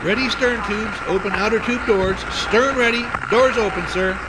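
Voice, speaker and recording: An actor playing a dutiful submarine crewman, calling back orders loudly in military style in a war drama. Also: sits high, moderate pace, very noisy, natural voice. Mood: urgent. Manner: loud, military call-outs. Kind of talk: radio-play style war drama acting.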